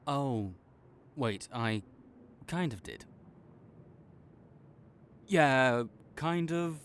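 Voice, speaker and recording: A man playing a tsundere supervillain in an audio roleplay, halting and exasperated, teasing and reluctantly caring toward a rival. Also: mid-range voice, slight background noise. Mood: sheepish, teasing. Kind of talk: intimate audio roleplay addressed to the listener.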